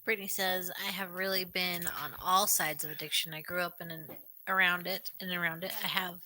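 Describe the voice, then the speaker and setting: A woman's voice: on the low side, fairly even intonation, a little fast, sharp tone, a little hiss or hum. A woman co-hosting a podcast, reading out viewer comments and chatting in a friendly way. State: dry throat, burning nose. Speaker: podcast co-host. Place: small home studio. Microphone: studio mic on boom arm.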